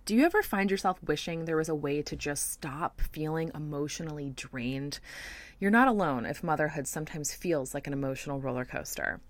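A woman's voice: low-pitched, fairly quick. A woman in her thirties hosting a casual podcast, talking straight to listening mothers in an encouraging way. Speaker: podcast host.

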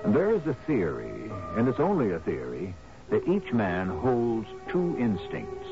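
Low-pitched male radio mystery host. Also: huge pitch swings. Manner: measured, philosophical, portentous. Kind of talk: scripted radio drama narration. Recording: muffled radio broadcast over music.

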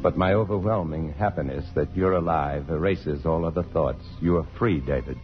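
A restless, evasive husband in a 1950s radio play. His voice is very low, and he speaks earnestly in halting, broken-off phrases. The old broadcast is muffled, with faint music. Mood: concerned, wry. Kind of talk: 1950s radio drama acting.

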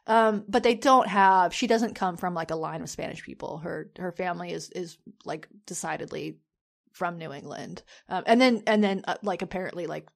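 A woman's voice: fairly low pitch, normal speed, vocal fry. A woman co-hosting a podcast in casual chat, rambling with many fillers and restarts. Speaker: podcast co-host.

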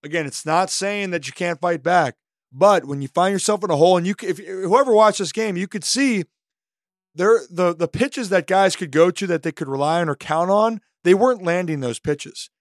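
A man co-hosting a sports podcast, his voice slightly hoarse, talking through pitching stats and game analysis in animated bursts. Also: moderate pace, no room sound, studio quality. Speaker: co-host.

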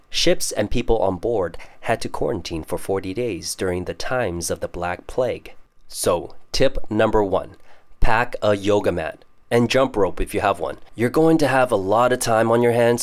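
A young man with a Canadian accent hosting a podcast, casually explaining English idioms with jokes. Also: lowish voice. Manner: casual, joking, explains terms. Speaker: podcast host. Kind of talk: scripted podcast monologue teaching English idioms.

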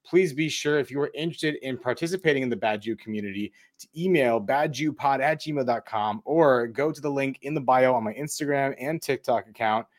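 A man's voice: mid-range voice, brisk, few pauses. A man hosts a podcast with a mid-range voice. He introduces and chats in a casual, friendly manner, as if among friends. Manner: friendly, informal. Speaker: podcast host.

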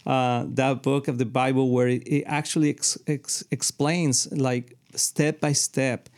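A man's voice: mid-range voice, little pitch movement, measured pace, darkish tone. Earnest man co-hosting. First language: Spanish. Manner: earnest, restarts often, mixes in Spanish. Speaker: podcast co-host. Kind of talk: casual chat.

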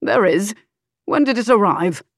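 A woman's voice: medium pitch, expressive, measured pace, no room sound, studio quality. A woman voicing an arrogant, selfish nobleman in an audiobook, sneering and commanding. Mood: angry.

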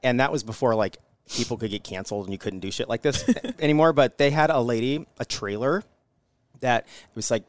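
A good-natured man chatting casually in a podcast studio, easily excited. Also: mid-range voice, a little fast, no room sound. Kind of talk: casual chat.

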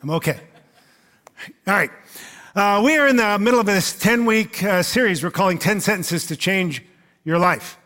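A man's voice: sits high, lively intonation, normal speed, pauses often, pushed and bright. A male pastor, who says he is losing his voice, gives a casual, conversational sermon with many fillers.

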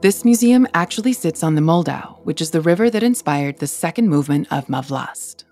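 A woman with a notably low voice narrates a scripted podcast episode. She tells the story in a clear, measured way over faint background music. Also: animated, dark tone. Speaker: podcast host.

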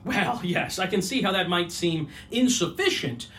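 A man's voice: high voice, expressive, normal speed, a bit bright, slight background noise. A man acting a slippery, easily flustered salesman in a comedic audio drama, glib and evasive, hesitating and then turning pushy. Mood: evasive, sheepish. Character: slippery, flustered male salesman of fake disappearances.

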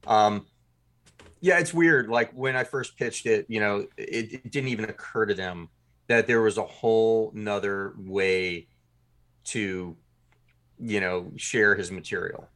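Low-voiced man chatting in an interview. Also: measured pace, a bit bright. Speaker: podcast writer-producer being interviewed. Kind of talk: casual interview chat.

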